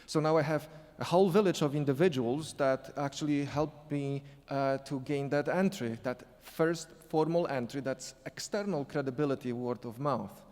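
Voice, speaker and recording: A man speaking English with a non-native accent, telling a story on a conference panel, halting and restarting often. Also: a little high, a little mellow. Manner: halting, many restarts and fillers. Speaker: sport psychology consultant on a panel. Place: conference hall. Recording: echoey hall reverb.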